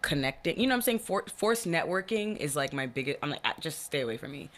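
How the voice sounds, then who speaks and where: Young woman guest, bright voice, lively rambling delivery with frequent "like". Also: deep voice, quick pace. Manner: animated, lots of fillers and restarts. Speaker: podcast guest. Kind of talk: casual interview chat.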